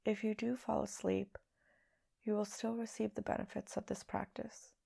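A woman leading a guided meditation as a podcast host, speaking in a hushed, soothing, unhurried way with long pauses. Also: medium pitch, level tone, gravelly, husky.

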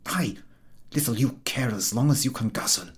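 A man voicing an exasperated cab driver who grumbles in a quick, excited way in a storybook reading. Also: mid-range voice, breathy edge. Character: exasperated working cab driver scolding his horse.

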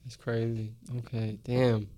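A man's voice: medium pitch, flat delivery, unhurried, warm tone. Young man, candid and slangy. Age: early 20s. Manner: slangy, candid, frequently swearing. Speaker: podcast host and writer. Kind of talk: casual conversational interview.

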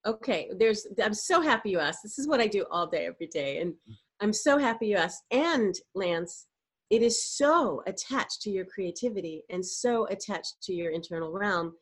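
Middle-aged lady voice coach on a podcast, animated, explains stuff, demos exercises, mid pitch. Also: normal speed, husky. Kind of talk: podcast interview answer.

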